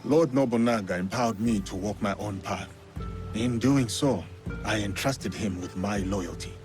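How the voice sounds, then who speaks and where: An actor playing a stoic, honourable warrior of feudal Japan in a video game, speaking in measured, formal phrases. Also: male voice, lowish voice, over music, natural voice. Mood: solemn, resolute. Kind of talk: video game cutscene acting.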